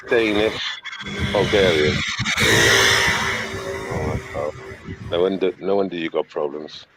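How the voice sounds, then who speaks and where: A man's voice: low voice, takes it slowly, music in the background, rough recording. Rambling Welsh man commenting live. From Wales. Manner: rambling, crude, sarcastic asides. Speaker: live stream host. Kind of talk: casual live commentary on riot footage.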